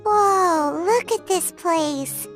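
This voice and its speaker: A woman voicing a tiny, excitable, blunt childlike female companion in a video game, with a squeaky voice and exclamatory delivery. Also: extremely slow, faint music behind, full character voice. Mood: excited. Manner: exclamatory, expressive.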